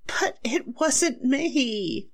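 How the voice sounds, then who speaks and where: A woman playing a distraught accused wife in 1940s radio melodrama, halting, anxious and pleading. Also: high-pitched, animated, heavily acted.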